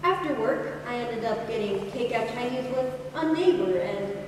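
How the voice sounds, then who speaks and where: A woman's voice: a little high, lively intonation, moderate pace, natural voice. Young woman acting wistful daughter, distant echoey hall. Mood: tender, sombre. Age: teenager. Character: grown daughter recalling her dying father, wistful and tender. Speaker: high school drama performer. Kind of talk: rehearsed stage monologue. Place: large indoor hall at a school play. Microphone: distant camera. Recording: echoey hall from far off, faint noise.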